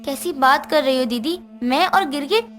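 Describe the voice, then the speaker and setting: A woman's voice: high voice, moderate pace, fairly bright tone, soft background music. A woman playing a put-upon young daughter-in-law in a cartoon moral story, dramatically voiced. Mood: meek, innocent. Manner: dramatic, swings from meek pleading to menace. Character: put-upon young daughter-in-law, tearful, turning fierce when possessed.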